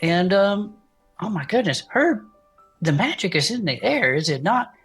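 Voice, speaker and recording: A woman playing a folksy, sentimental gnome who hosts a comedic podcast about cryptids, talking in an effusive, drawn-out way. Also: mid-range voice, very animated, breathy edge, soft background music, full character voice. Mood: folksy, excited. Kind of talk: improvised comedic fiction podcast.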